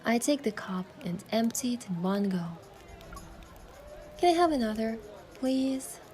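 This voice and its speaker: A woman voicing a playful, tender young heroine who narrates her own romance in a game with soft, lyrical storytelling. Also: on the high side, expressive, a little slow. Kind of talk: scripted first-person narration and dialogue in romance game. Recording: music behind the voice.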